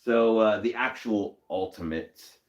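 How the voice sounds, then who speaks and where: A young man hosting a casual comic-book live stream, a little hoarse from a cold, greeting viewers by name in an upbeat way. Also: lowish voice, measured pace, muffled recording. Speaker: host.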